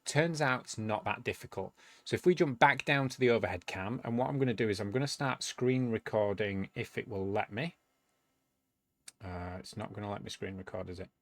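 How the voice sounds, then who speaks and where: A man's voice: on the low side, brisk, no echo. A man casually walking viewers through a step-by-step tech tutorial, explaining as he goes. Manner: relaxed, explanatory, thinking aloud while demonstrating. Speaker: tutorial video host.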